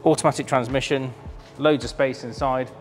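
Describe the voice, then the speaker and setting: A man's voice: medium pitch, steady tone, moderate pace, faint music behind. A man, chatty and enthusiastic, presenting a car auction preview in a large warehouse showroom. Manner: enthusiastic, chatty, filled with ums.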